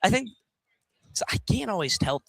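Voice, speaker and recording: A man working as color commentator on a live college basketball game, informal and a little halting. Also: high-pitched, big pitch swings, fairly bright tone. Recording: clearly noisy live radio broadcast.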